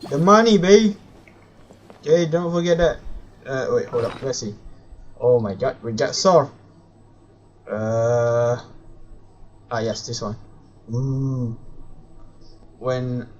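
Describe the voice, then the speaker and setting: Dull-sounding male game streamer. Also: medium pitch. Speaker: live streamer playing a video game. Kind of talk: casual game livestream reaction. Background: faint game music.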